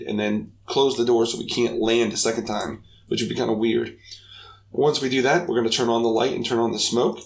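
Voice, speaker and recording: Man, young adult, raspy gravelly voice, mid pitch, upbeat tutorial narration. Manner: upbeat, talks through each step, chatty asides. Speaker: game development tutorial host. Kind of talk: casual screen-recorded coding tutorial.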